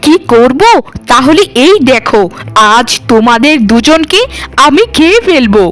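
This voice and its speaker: A woman's voice: high voice, animated, unhurried. A woman voicing a wronged, firm and defiant young daughter-in-law in a cartoon. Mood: threatening. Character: wronged young daughter-in-law turned bold, threatening giantess. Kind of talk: cartoon voice acting.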